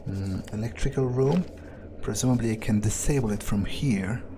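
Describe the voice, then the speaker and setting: A man playing a role-playing game in character, thinking aloud in a halting, musing mutter as his vampire character. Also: on the low side, background noise.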